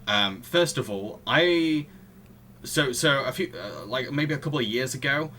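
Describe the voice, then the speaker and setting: A man's voice: medium pitch, expressive, measured pace, bright, forceful tone, slight background noise. A young adult man hosting a film discussion podcast, talking through plots and opinions. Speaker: podcast host. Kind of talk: film discussion, partly read-out plot summary.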